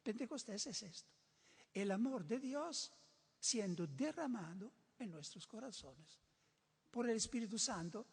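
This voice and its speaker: A man's voice: high voice, measured pace. Older man preaching in a reverberant hall. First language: not Spanish. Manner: lively, emphatic, repeats and restarts words. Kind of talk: sermon.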